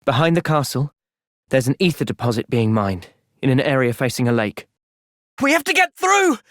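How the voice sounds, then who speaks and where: A young English soldier hero for a fantasy video game cutscene. He is earnest and reflective, with a mid-range voice that moves expressively. The delivery is measured, and the sound is dry and clean. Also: medium pitch, sing-song, a bit bright, no echo. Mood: urgent, distressed.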